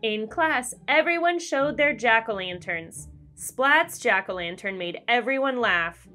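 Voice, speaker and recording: A woman reading a children's storybook aloud in an expressive, deliberate storyteller style. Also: a little high, soft background music. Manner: animated, deliberate storyteller delivery. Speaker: children's read-aloud host. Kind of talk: expressive scripted storybook narration for kids.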